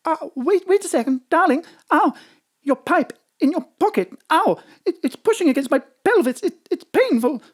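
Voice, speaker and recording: A woman's voice: high-pitched, lively intonation, moderate pace. A woman playing a flustered, melodramatic lover in a parody of old romantic audio drama. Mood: flustered, pained.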